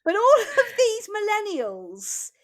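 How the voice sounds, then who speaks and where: A woman in her thirties co-hosting a casual chat podcast, animated, laughing often and swearing freely. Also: very high voice, slow pace. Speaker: podcast co-host.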